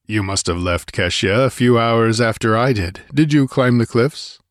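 A man voicing a massive, contemptuous barbarian warrior in an audiobook, barking and rumbling with impatience. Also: moderate pace, no echo, studio quality, close to an everyday voice. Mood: demanding. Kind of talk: dramatised audiobook character voice.

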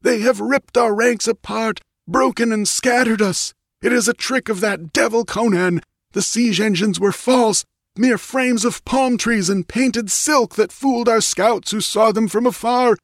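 A man's voice: very high-pitched, no echo, studio quality, voice pushed up. A man voicing a frantic, skull-headed priest who screams out desperate battle reports in a storybook reading. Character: skull-headed dying priest, frantic, shrieking through a crystal. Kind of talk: dramatic audiobook character voice.

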